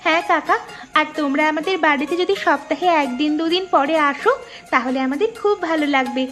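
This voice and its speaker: A woman acts out a poor young village girl from a cartoon folktale. Her voice is very high, earnest and trusting, with polite innocence. Music plays behind it. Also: a little fast, fairly bright tone. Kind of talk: Bengali cartoon folktale acting.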